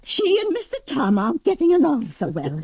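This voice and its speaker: An actress playing a nervous, timid housekeeper who stammers deferentially with an American accent in a 1940s radio drama. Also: female voice, sits high, wildly swooping pitch, fairly quick, darkish tone, full character voice. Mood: flustered, timid.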